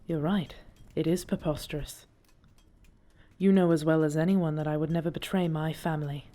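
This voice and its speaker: A woman's voice: deep voice, fairly even intonation, normal speed, dark tone, close to an everyday voice. A woman playing a defiant, principled dragon princess in an audio drama, speaking formally and with earnest emphasis. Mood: grave, measured. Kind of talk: dramatic audio roleplay acting.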